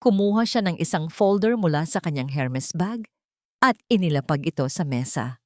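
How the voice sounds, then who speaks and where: A woman with a Filipino accent reading a dramatic scripted audiobook story in a theatrical, deliberate way. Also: low-pitched, lively intonation, no echo, clean studio sound. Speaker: audiobook narrator.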